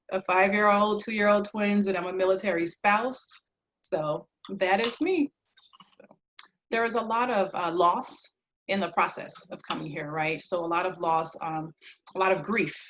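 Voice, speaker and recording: A woman in her thirties from Liberia answers interview questions conversationally as a guest, reflective and halting, often pausing between phrases. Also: lowish voice, fairly bright tone, dull audio, a little hiss or hum. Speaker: interview guest, clinical social worker.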